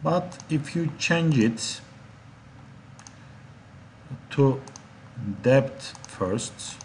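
Man, software instructor, slow halting delivery, medium pitch, faint noise with typing behind. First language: Turkish. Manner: halting, step-by-step explaining. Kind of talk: software tutorial narration. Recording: steady background noise, keyboard clicks.

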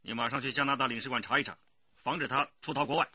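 An actor playing a stern, authoritative police detective chief in a radio crime drama. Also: male voice, mid-range voice, fairly even intonation, very fast, sharp tone, slightly rough, lo-fi sound. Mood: brisk, urgent. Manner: authoritative, pressing.

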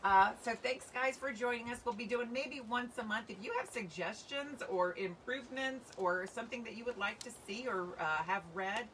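A woman's voice: a little high, moderate pace, hardly any pauses, fairly bright tone. Middle-aged woman, off-mic outdoors. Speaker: children's book author and reader. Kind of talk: storybook reading and casual livestream chat. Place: covered outdoor patio by trees. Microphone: phone or camera a few feet away. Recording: distant mic, clear outdoor background noise.

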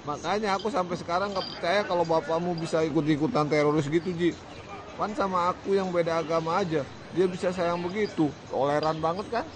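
A man's voice: on the high side, a little fast, noisy background, close to an everyday voice. An actor playing an easygoing young man chatting with his childhood friend in a radio drama, using casual slang.

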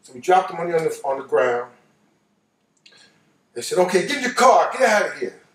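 High-pitched older American man telling stories. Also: big pitch swings, a little fast, fairly bright tone. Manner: colloquial, repeats phrases for emphasis. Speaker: storyteller. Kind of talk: animated personal storytelling, casual. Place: living room couch, indoors. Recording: roomy, distant microphone.

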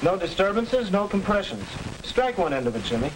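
Young American instructor, fairly high voice, didactic, patient, 1940s educational film, noisy old soundtrack. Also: male voice, big pitch swings, brisk. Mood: instructive. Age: young adult. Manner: didactic, clearly explaining. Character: patient, instructive young man explaining science to a boy.

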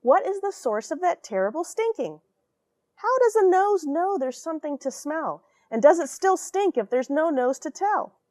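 A woman's voice: high voice, sing-song, normal speed, a little mellow, no room sound, voice pushed up. A woman voicing a curious three-year-old girl from a storybook, firing off emphatic questions. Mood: puzzled wonder.

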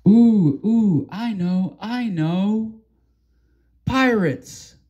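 Man voicing an excitable, high-pitched storybook worrier. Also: extremely slow, lo-fi sound, heavily acted. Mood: excited alarm. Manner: exclamatory, dramatic. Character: excitable, theatrical, alarmist storybook cartoon character.

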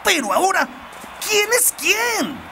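A cocky young cartoon footballer, high and gravelly. His pitch swings wildly from line to line. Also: wildly swooping pitch, slow pace, sharp tone, raised voice, slight background noise, heavily acted. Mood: indignant. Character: cocky, animated young male football player. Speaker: football player character. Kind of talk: cartoon dubbing.

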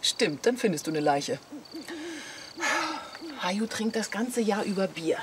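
An actress plays a bold, impulsive married woman in a radio play. Her mid-pitched voice is brisk and bossy. Noise is clearly heard behind her. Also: expressive, close to an everyday voice. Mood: brisk, worried. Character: bold, impulsive, hurried wife and mother. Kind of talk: German radio play acting.

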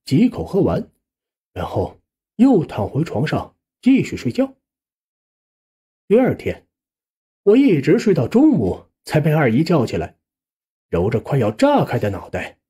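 Expressive, bright-voiced audiobook storyteller. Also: mid-range voice, husky. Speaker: audiobook narrator. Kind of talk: scripted first-person story narration with acted dialogue.

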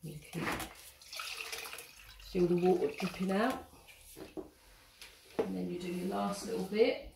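Middle-aged English teacher, low-ish voice, patient how-to demo, roomy phone recording. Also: female voice, unhurried, creaky, long pauses. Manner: patient step-by-step explaining. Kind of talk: instructional home demonstration. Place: home kitchen and hallway, indoors. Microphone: handheld phone filming. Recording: echoey room, noisy phone audio.

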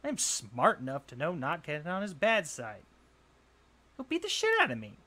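A man's voice: a little high, huge pitch swings, bright, forceful tone, exaggerated voice. A young man playing a jealous, insecure college bully in a roleplay audio drama, scoffing, sighing and defensive. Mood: irritated, defensive. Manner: scoffing, sighing, swearing, halting when flustered.

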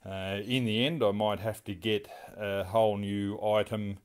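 A man's voice: lowish voice, little pitch movement, unhurried. A man, casually walking through his home garage workshop, rambling and asking viewers for advice. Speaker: car restoration vlogger.

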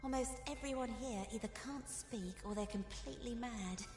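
A woman's voice: a little high, normal speed, close to an everyday voice. A woman voicing a chirpy, doting, slightly creepy housekeeper creature in a video game, fussy and eager to please. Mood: wistful, chatty. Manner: fussy, eager, singsong hospitality. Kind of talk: video game character acting. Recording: echoey hall reverb, music behind.

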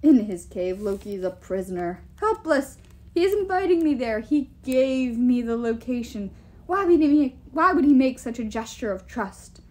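A woman's voice: fairly high pitch, lively intonation, moderate pace, mellow, lightly acted. A woman voicing a huge, boastful and menacing male giant king in an audiobook, with emphatic, pompous delivery. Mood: boastful, insistent. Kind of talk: audiobook character voice.

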